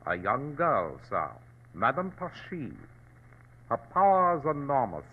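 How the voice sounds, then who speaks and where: Courtly governor, thin tinny radio sound. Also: male voice, mid-range voice, very animated, husky. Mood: disdainful, grave. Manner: courtly, formal, measured. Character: dignified, ruthless wealthy Chinese provincial governor. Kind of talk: 1940s radio drama acting. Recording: thin, narrow-band old radio recording.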